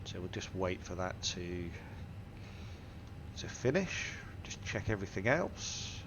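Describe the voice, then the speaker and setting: A man's voice: low-pitched, very animated, takes it slowly. A man with a stuffy nose from a cold, methodically talking through each cockpit setting in a flight simulator tutorial. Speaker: flight sim tutorial host. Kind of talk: step-by-step cockpit walkthrough. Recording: simulator engine and cabin noise behind. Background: aircraft simulator hum.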